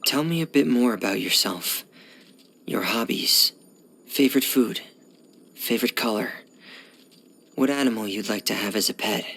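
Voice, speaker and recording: A man playing a shy, gloomy teenage boy diver in video game voice lines, speaking softly and hesitantly in a hushed, breathy voice. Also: lowish voice, normal speed, pauses often. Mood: calm, pensive. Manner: hesitant, reserved, subdued.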